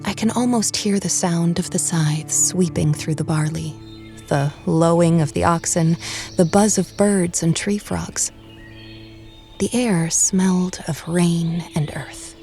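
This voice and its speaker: A woman narrates a first-person tale in a notably low voice. Her delivery is measured and dramatic, with hushed phrase endings, and music runs clearly beneath it. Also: steady tone. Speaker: audiobook narrator.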